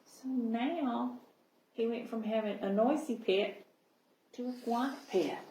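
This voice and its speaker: A woman reading a picture book aloud to young children as a library storyteller, in an expressive manner. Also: fairly high pitch, a little hiss or hum. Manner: expressive, pausing to check understanding with listeners. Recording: roomy, echoing sound.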